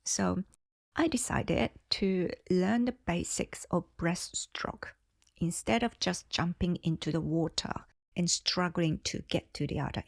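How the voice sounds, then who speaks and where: Slow-speaking Japanese woman teaching English. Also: mid-range voice, darkish tone, no echo, studio quality. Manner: slow, deliberate, carefully clear delivery. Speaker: English teacher and channel host. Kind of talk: slow scripted English lesson talk.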